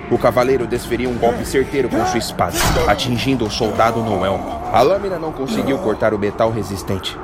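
A man narrating a fantasy audiodrama in a steady, dramatic storytelling manner. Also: mid-range voice.

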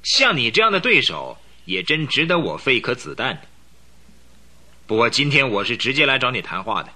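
An actor playing an arrogant young count who secretly runs a gang, sneering and thuggish in a radio drama, with a rough edge to his voice. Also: medium pitch, sing-song, moderate pace, pushed and bright, full character voice. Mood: coolly menacing. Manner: sneering, scornful, thuggish speech. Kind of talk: Chinese radio drama acting.

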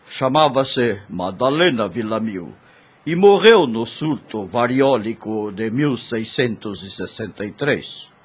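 An actor playing a calm, informative priest in a Brazilian radio soap, explaining in a measured way with a Brazilian accent. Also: male voice, mid-range voice, breathy edge.

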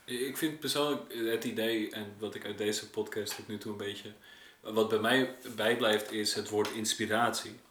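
A man's voice: lowish voice, steady tone, moderate pace, few pauses, a bit bright, slight background noise. A man hosting a casual podcast interview in conversational speech. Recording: echoey room.

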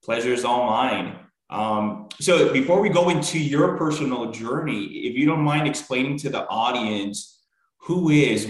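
A man's voice: medium pitch, low-quality recording. A man speaking as a podcast host, introducing his show and guest and leading an interview.

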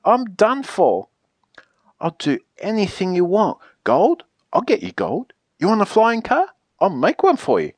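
Woman voicing a melodramatic, bossy storybook character, swooping pitch. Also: no echo, studio quality. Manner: theatrical, emphatic, drawn-out pleading. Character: pleading, exasperated book character, melodramatic and bossy. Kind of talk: children's picture book read-aloud.

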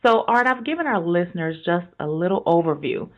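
A woman hosting a business radio interview, with an energetic, promotional delivery. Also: lowish voice, fairly quick, dull audio. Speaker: podcast host.

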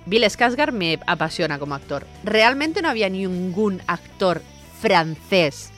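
Lively woman chatting about films. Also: mid-range voice, a little slow, faint music behind. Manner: animated, laughs often, rambling retellings. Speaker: podcast co-host. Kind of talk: casual film chat.

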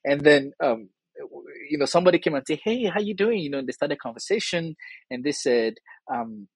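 A man with a Nigerian accent speaks as an interview guest in a slightly high, husky voice. He is earnest and emphatic, explaining things conversationally. The sound is dry, with very little room in it. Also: animated, a little fast, no room sound, low-quality recording. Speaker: interview guest, theatre artist and scholar. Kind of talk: conversational interview answers with anecdotes.

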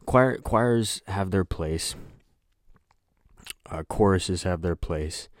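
A young man hosting a solo podcast, talking in a flat, monotone voice that rambles, with lots of hesitation and filler words. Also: deep voice, drawn-out words, dry recording, clean studio sound. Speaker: podcast host. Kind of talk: casual solo podcast chat.

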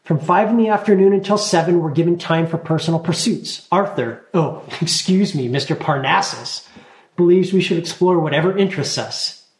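A man's voice: fairly high pitch, moderate pace, echoey room, natural voice. Man doing a blunt, chattering old gnome girl. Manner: blunt, morbid, hasty chatter. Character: bossy 263-year-old female gnome girl.

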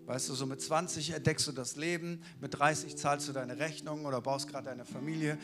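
A man's voice: fairly high pitch, steady tone, fairly quick. A man giving a casual, colloquial sermon who keeps asking the listeners rhetorical questions. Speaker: preacher.

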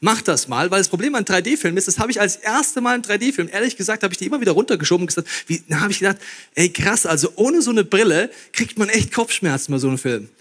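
Joking, lively man preaching. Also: sits high, fast. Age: 30s. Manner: lively, joking, addresses audience directly. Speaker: pastor. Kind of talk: sermon. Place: church hall before a live audience.

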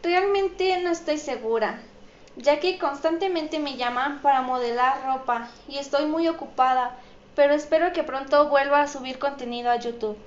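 A woman's voice: high voice, moderate pace. A woman with a Mexican accent, answering audience questions as an interview guest. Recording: dull, echoey room with faint noise.

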